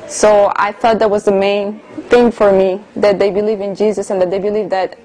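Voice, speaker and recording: A woman of around thirty from Colombia, speaking English, earnestly telling her personal story as an interviewee with soft hesitations. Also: mid-range voice, flat delivery, moderate pace, slight background noise, low-quality recording. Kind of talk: personal testimony in conversation.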